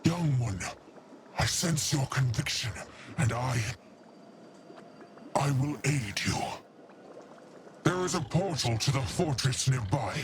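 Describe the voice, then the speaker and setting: An actor playing a stern, wise old witch matron in a fantasy game, speaking in a measured, formal way. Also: mid-range voice, expressive. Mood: reluctant, resolved. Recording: noisy ambience behind the voice. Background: game ambience noise.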